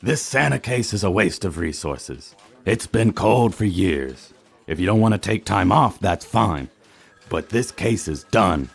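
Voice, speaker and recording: A man playing an exasperated, stern police chief with a gruff, husky voice in a comedic noir audio drama. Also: deep voice, lively intonation, measured pace, exaggerated voice. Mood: stern, angry. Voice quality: gravelly, husky.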